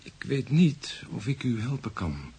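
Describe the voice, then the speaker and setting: An actor in a radio drama playing a calm, courteous adviser who speaks in a polite, modest, measured way. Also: male voice, mid-range voice, slightly rough, heavily acted. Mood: measured, serious. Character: calm, courteous male adviser receiving a nervous client.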